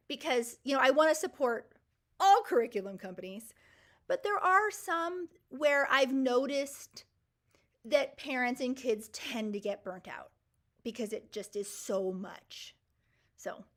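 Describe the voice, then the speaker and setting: A woman's voice: high voice, expressive, unhurried, pauses often. A woman in her forties hosting a live Q&A, answering viewer comments in an enthusiastic, emphatic and reassuring way. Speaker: host.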